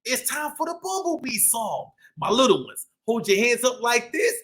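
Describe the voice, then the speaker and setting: A man's voice: extremely high pitch, big pitch swings, normal speed, fairly bright tone. A man hosting a read-aloud for young children, playful and exclamatory with a sing-song delivery. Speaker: children's storytime host.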